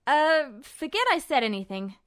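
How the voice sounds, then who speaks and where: A woman voicing a proud, gruff, easily embarrassed young man in an audiobook story, blurting in brusque slang. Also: high-pitched, lively intonation, normal speed, a bit bright. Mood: panicked, dismissive.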